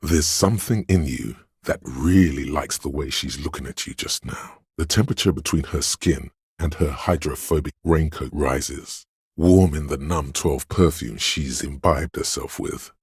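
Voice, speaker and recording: Game casting: hedonistic voice of addiction and lust, bottomless bass, heavy rasp, sensual goading drawl. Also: male voice, unhurried, a little mellow, no echo, clean studio sound, full character voice. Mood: sultry. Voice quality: very deep, gravelly, husky. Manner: sensual, drawling, insinuating, savouring words. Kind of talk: video game character voice-over.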